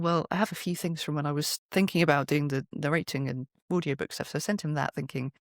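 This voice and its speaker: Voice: medium pitch, no room sound, clean studio sound. A middle-aged performer telling anecdotes as an interview guest, in a wry, self-deprecating, conversational way.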